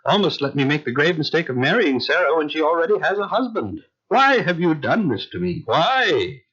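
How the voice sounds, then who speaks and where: Muffled old drama sound, bossy egyptian king, pitch all over the place, flustered and indignant. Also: very animated, moderate pace, fairly bright tone, husky, exaggerated voice. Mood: wounded, reproachful. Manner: theatrical, stammering when flustered. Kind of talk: dramatized Bible audio play. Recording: dull lo-fi radio drama audio.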